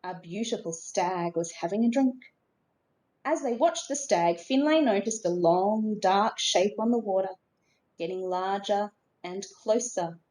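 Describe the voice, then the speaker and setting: Slow-paced woman reading a children's story. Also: medium pitch, darkish tone, poor audio. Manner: measured, dramatic emphasis. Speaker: storybook reader. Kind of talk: expressive children's story narration.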